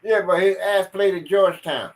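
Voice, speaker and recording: An American man trading loose, profane banter on a podcast. He talks bluntly and laughs easily, his voice a touch higher than most men's. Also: normal speed, low-quality recording.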